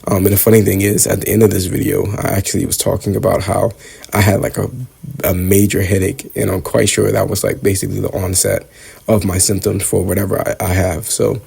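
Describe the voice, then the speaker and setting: A man's voice: little pitch movement, brisk, darkish tone. A young man in his twenties talking casually to camera, congested from a cold, reflecting on student life. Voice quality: husky, low gravelly voice. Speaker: vlogger, dental student.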